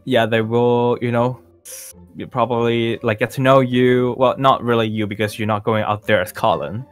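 A man playing a laid-back, modest music star in an improvised video game roleplay, talking in halting, understated phrases. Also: mid-range voice, fairly even intonation, clean tone, lightly acted. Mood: casual, helpful.

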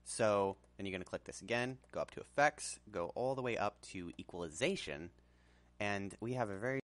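A man presenting a casual software tutorial in an informal, hesitant way. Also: fairly low pitch, animated, brisk.

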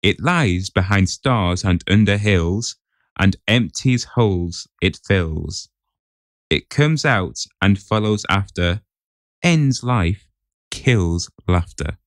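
A man's voice: low-pitched, animated, slow pace, dry recording, clean studio sound. A man on a podcast setting a quiz, chatting casually and reading riddles aloud.

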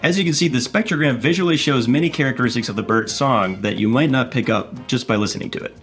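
A man's voice: mid-range voice, quick pace, a bit bright, soft background music. A man in his thirties hosting a scripted game show in a playful, punning manner.

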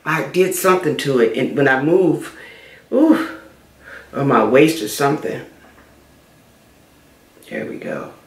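A woman's voice: very deep voice, expressive, takes it slowly, long pauses, roomy sound. A middle-aged woman chatting casually while doing her makeup for a beauty vlog, repeating herself as she goes. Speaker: beauty vlogger. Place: small room indoors.

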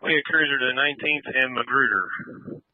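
A man's voice: mid-range voice, a little fast, no echo. A man working as a police officer, giving terse calls over a police radio. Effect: police radio transmission. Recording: tinny, dry police radio.

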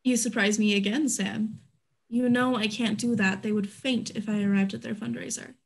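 A woman's voice: on the high side, fairly even intonation, normal speed, gravelly, husky, low-quality recording. A woman in a play reading, voicing a candid, proud businesswoman of an Old West town. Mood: guarded, proud. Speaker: actor in an online staged reading. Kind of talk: scripted stage play reading. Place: indoors at home, on a group video call.

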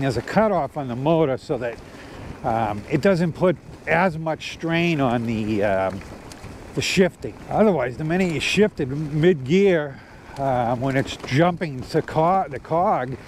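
A man's voice: a little high, a little mellow. A man in his fifties talking casually while riding an e-bike on an outdoor trail, rambling with lots of fillers and restarts into a clip-on mic. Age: 50s. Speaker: e-bike vlogger. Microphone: corded clip-on mic. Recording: faint outdoor noise from riding.

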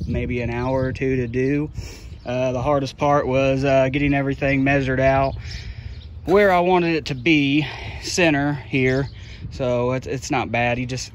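A man's voice: mid-range voice, a little hiss or hum. A middle-aged man giving a casual, plain-spoken how-to walkthrough outdoors on a handheld phone. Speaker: YouTube channel host, trucking business owner. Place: outdoors beside a trailer. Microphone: handheld phone at arm's length.

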